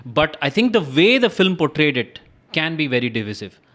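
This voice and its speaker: A man's voice: fairly high pitch, big pitch swings, moderate pace, a bit bright. A man with an Indian accent chatting casually and with conviction on a podcast, telling stories informally and repeating points for emphasis. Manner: emphatic, informal storytelling with rhetorical repetition. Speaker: podcast guest. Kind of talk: casual opinionated podcast conversation.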